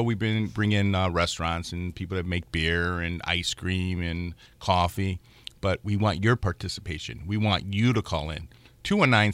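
Radio chef guy in his 50s, chatty and jokey, bright voice, dead dry studio mic. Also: fairly low pitch, no room sound. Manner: chatty, joking, repeats the call-in number. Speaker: radio food show host and chef. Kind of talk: casual radio chat. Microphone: studio microphone.

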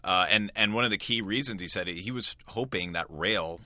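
A man's voice: fairly low pitch, normal speed, pushed and bright, no echo, clean studio sound. A man speaking as a news reporter, explaining a story informally in a radio conversation.

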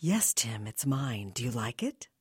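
A coaxing, elegant mature woman who drops to low, breathy whispers as she pleads, put on by a woman reading a story. Her voice is husky and lively in pitch. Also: a little slow, dry recording, studio quality, heavily acted. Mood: pleading.

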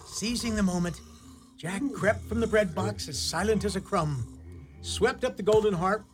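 A man's voice: high-pitched, normal speed. A man narrating a fairy-tale radio play in a dramatic storybook style, theatrical and wry. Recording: clearly noisy background.

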